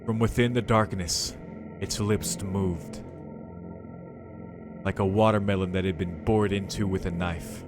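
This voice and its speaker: A man's voice: deep voice, steady tone, a little slow, long pauses. A man playing through a visual novel, reading its text aloud dramatically and reacting in casual, slangy speech. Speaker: video game streamer doing a playthrough. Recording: music behind the voice. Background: game music.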